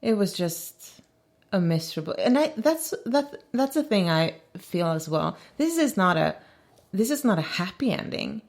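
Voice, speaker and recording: A woman with a Swedish accent, an actress chatting on a commentary track, quick to agree and often laughing. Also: medium pitch, big pitch swings, a little mellow. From Sweden. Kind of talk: casual commentary chat.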